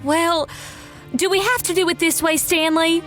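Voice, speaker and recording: A woman's voice: very high voice, fairly even intonation, moderate pace, fairly bright tone, soft background music. An actress playing a timid, reluctant young woman accomplice, hesitant and anxious, in a radio crime drama. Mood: reluctant, pleading.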